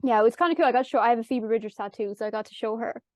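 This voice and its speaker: A nasal Irish woman with a Galway lilt sits in for a casual chat, her voice high and bright in the nose. She tells her stories easily and acts out what she said at the time. The sound is close and dry, with no room around it. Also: racing, no echo. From Ireland. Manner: chatty storytelling, acts out her own past lines. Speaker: musician guest.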